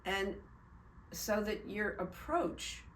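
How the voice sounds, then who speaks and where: Slow, musing older woman host. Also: medium pitch, slight background noise. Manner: slow, musing, lively swoops. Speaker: host and actress. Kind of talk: casual interview chat. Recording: echoey, distant from mic.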